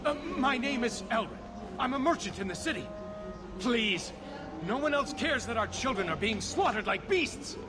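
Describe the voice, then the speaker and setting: A man's voice: very high-pitched, lively intonation, moderate pace, over music. An actor playing a grieving, bitter elf merchant in a video game, pleading and indignant about his loss. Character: grieving, bitter male elf merchant father seeking vengeance. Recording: noisy game ambience behind. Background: ambient noise.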